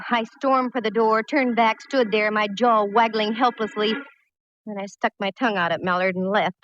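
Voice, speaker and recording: An actress playing a wry, quick-witted female private eye in a 1940s radio detective drama, breezy and wisecracking. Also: medium pitch, close to an everyday voice. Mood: exasperated, amused. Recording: noisy, dull vintage radio recording.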